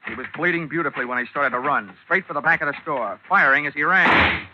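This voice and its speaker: An actor playing a wisecracking, hardboiled male investigator in a 1940s radio drama, with a wry, deadpan, tough manner. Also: fairly high pitch, quick pace, heavy background noise, close to an everyday voice. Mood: wry narrating. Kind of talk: 1940s radio detective narration and acting. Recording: dull old 1940s radio recording.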